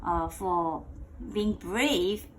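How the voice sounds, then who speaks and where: A woman reading a picture book aloud to a child in a playful, expressive way, drawing out words and asking questions. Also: on the low side, very slow, a little hiss or hum. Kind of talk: animated bedtime read-aloud with questions to a child.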